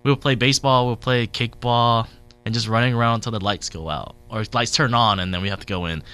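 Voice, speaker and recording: Chatty bright-voiced man in his thirties. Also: mid-range voice, fairly even intonation, fast talker, clean tone, no echo, soft background music. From born in Vietnam, raised in Southern California. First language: Vietnamese. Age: 30s. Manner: rambling, chatty, laughs easily, many fillers. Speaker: interview guest, technology consultant. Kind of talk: casual interview.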